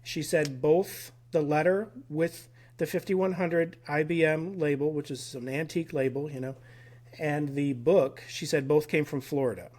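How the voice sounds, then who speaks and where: Male podcast guest, higher-pitched, halting and filler-heavy as he recalls details. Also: steady tone, darkish tone. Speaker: guest researcher. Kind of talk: casual podcast conversation.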